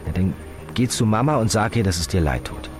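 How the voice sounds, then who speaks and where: A male actor plays a weary police inspector in a radio drama. His low voice swings widely in pitch, blunt and sceptical yet protective, over loud music. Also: lively intonation, a little fast, natural voice. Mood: gentle, paternal. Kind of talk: German radio drama acting.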